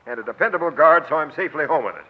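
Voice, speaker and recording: An actor playing a brusque, skeptical police captain in 1940s radio crime drama style, with gruff, slangy, sarcastic commands. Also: male voice, a little high, expressive, very fast. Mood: accusing. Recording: tinny, band-limited old radio broadcast.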